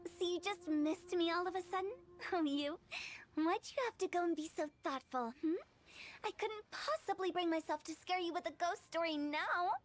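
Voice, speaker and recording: A woman's voice: extremely high pitch, moderate pace. A woman voicing a playful, mischievous teenage girl in a video game, teasing and wheedling in a singsong way. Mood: playfully teasing. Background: background music.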